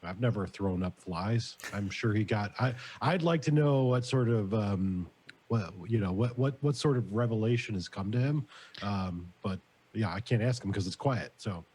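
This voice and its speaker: A man playing a tabletop game, describing his character casually in a halting, repetitive way as he thinks. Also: fairly low pitch, a little mellow, a little hiss or hum. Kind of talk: casual in-character play chat.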